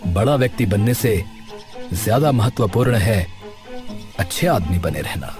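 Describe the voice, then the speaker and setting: A man playing a sensible, moralising elder brother in an animated village story, admonishing as he talks. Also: lowish voice, a little slow. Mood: earnest. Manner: scolding, admonishing. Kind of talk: Hindi animated story dialogue. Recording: loud background music.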